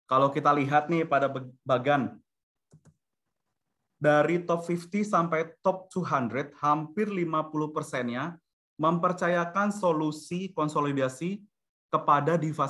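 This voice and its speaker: Bright-voiced Indonesian man presenting. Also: a little high, unhurried. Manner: explanatory, mixes in English business terms. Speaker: webinar presenter for a software company. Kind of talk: corporate product presentation, semi-formal. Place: online video-conference webinar.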